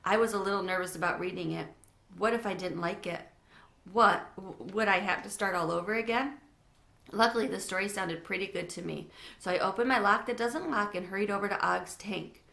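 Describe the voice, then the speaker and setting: A woman's voice: medium pitch, a little fast, creaky, pushed and bright. A woman reading a children's storybook aloud as narrator. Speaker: read-aloud reader. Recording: echoey room.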